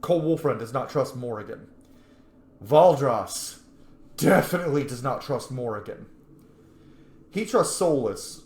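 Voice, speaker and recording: A man's voice: medium pitch, animated, unhurried, long pauses, darkish tone. A man giving informal gameplay commentary, thinking aloud as he role-plays and sometimes swearing. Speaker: game streamer, role-player. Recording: roomy echo, faint background noise.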